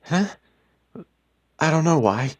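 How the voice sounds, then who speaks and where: A frightened and furious wife in a dramatic story, acted out by a man. The voice is lifted well above a natural low register, exasperated and emphatic, with a biting, sarcastic edge. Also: big pitch swings, a little slow, fairly bright tone, breathy edge, full character voice. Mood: irritated.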